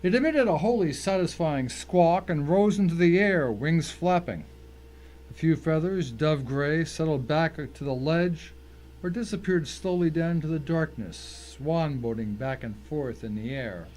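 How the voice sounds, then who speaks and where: A man's voice: on the high side, lively intonation, unhurried, darkish tone, poor audio. Man narrating a thriller, faint background noise. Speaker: story narrator. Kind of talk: scripted first-person thriller narration.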